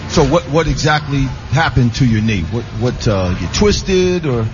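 A man's voice: medium pitch, lively intonation, moderate pace, music in the background. A man on a sports radio panel, a former football player, teasing and interjecting in casual banter. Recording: dull lo-fi with clear background noise.

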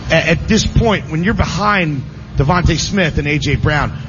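Man, sports radio host, fairly high voice, muffled lo-fi sound with light hiss. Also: normal speed. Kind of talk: casual sports radio chat. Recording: dull lo-fi broadcast with faint hiss.

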